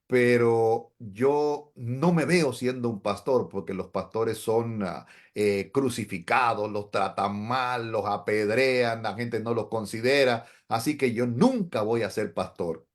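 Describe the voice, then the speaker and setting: A man's voice: mid-range voice, slow pace. A middle-aged man, a pastor, telling his story in an interview in an earnest, reflective way. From Dominican Republic. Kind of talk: interview testimony.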